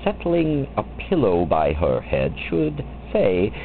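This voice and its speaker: Theatrical man reciting poetry, widely swooping pitch. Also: wildly swooping pitch. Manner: theatrical, deliberate, with wide swoops and drawn-out phrases. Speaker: poetry reader. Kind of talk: expressive dramatic poetry recitation. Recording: muffled lo-fi recording with faint background noise.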